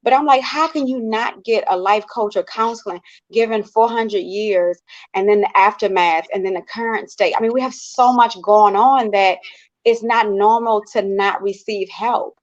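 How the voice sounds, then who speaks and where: An American woman in a casual panel discussion, speaking in an earnest, expressive, conversational way. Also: medium pitch, normal speed. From United States. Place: online video call. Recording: dull, lo-fi online call.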